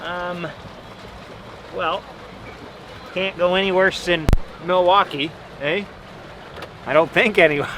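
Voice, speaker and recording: Wry man, noisy surroundings. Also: mid-range voice. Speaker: pro triathlete. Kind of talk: casual vlog chat.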